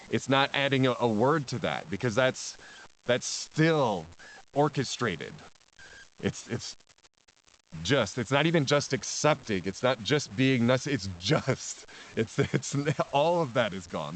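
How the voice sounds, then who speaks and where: A man talking as a podcast guest in a mid-pitched voice. He rambles, circles back and repeats his words, often breaking into laughter as he goes. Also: expressive, slow, fairly bright tone, rough recording. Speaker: spiritual teacher guest. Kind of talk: casual podcast conversation.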